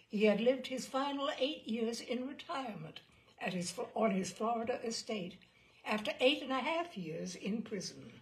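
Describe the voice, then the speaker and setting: A woman reading a scripted history narration aloud as a storyteller, with measured, deliberate phrasing. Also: fairly high pitch, gravelly, rough recording. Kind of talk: scripted narration of true crime history, with occasional asides.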